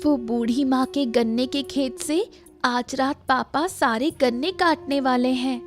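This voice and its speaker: A woman voices a sympathetic village girl in a Hindi cartoon. Her voice is high and full of concern, over soft background music. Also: unhurried. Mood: worried. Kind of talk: Hindi cartoon story dialogue.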